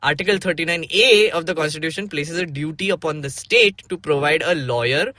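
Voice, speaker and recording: A man's voice: a little high, fairly quick, bright, forceful tone, no room sound. A man with an Indian accent hosting a podcast, reading aloud and explaining casually, with some Hindi mixed into his English. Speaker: podcast host. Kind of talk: casual podcast talk with dramatic read-aloud.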